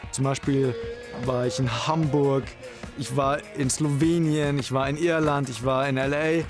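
A man's voice: medium pitch, steady tone, measured pace, soft background music. Earnest man talking about his passion. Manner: earnest, reflective. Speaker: skateboarder. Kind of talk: documentary interview.

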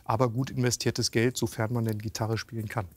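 An upbeat man presents a regional TV show in a relaxed, chatty way. His voice sits at a middling pitch, with a faint noise bed under the recording. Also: a little fast. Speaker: TV show host. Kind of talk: casual studio presenting.

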